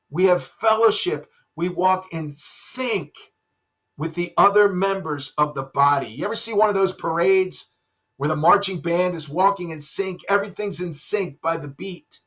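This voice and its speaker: A man in his fifties, a pastor, preaching a sermon from a room at home into a webcam, dropping soft and low for emphasis. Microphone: webcam at desk. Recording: dull lo-fi webcam audio.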